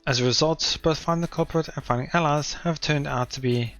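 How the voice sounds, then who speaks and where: A man's voice: a little high, a little fast, a bit bright, soft background music. A man reading as a wry teenage schoolboy narrator. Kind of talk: reading visual novel text aloud.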